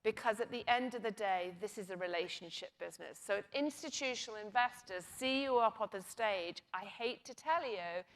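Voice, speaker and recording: A woman's voice: medium pitch, moderate pace, hardly any pauses. Emphatic woman on a conference panel. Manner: emphatic, persuasive, rhetorical questions. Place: conference stage.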